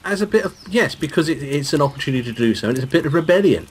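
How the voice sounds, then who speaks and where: A man co-commentating on a game in casual chat, playful and a little halting as he explains. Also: a little high, lively intonation. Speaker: guest co-commentator. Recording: noisy, game birdsong behind the voice.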